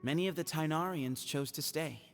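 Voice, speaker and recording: An actor playing a courteous, thoughtful young leader of a desert temple in a video game, speaking earnestly and formally. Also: male voice, fairly high pitch, normal speed, close to an everyday voice. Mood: storytelling. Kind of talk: scripted video game dialogue. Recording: music behind the voice.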